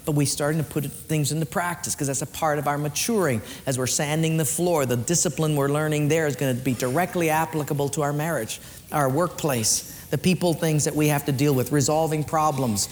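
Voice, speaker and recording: Pastor guy preaching hard then going quiet, kinda high voice, long echo in the church, faint music behind. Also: steady tone, a little fast, slight background noise. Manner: earnest, emphatic preaching that swings between lifted and hushed. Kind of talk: sermon ending in prayer.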